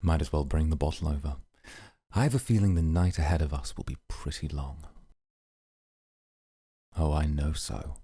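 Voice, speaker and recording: A man playing a stern, serious mafia boss in an ASMR roleplay, gravelly, measured and formal, with dry sardonic understatement. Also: very deep voice, warm tone, no room sound, full character voice.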